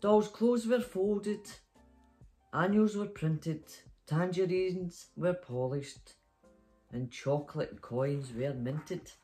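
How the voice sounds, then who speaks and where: A woman's voice: fairly low pitch, takes it slowly, warm tone, faint music behind. A middle-aged woman, hosting story time and reading a children's picture book aloud in a bedroom. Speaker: storytime host. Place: small bedroom, sitting up in bed.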